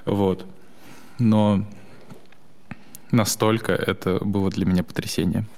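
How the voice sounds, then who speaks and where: A young man co-hosting a podcast in casual chat, talking haltingly with restarts and short laughs. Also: lowish voice, little pitch movement, a little mellow. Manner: halting, full of restarts, laughs between thoughts. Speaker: podcast co-host.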